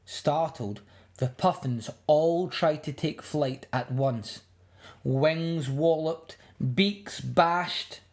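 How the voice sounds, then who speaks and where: A woman reading a picture book aloud to young children in an expressive, dramatic way. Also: sits low, extremely slow. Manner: expressive, dramatic, with emphatic sound words.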